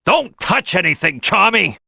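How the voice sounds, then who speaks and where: Brash crocodile team boss for a game: gruff, boisterous, forceful, swooping delivery, very dry recording. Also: male voice, high-pitched, very animated, measured pace, no room sound, heavily acted. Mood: bossy, assured. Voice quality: gruff, gravelly. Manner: loud, boisterous, bossy. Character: big brash male crocodile detective, gruff team leader. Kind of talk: video game character acting.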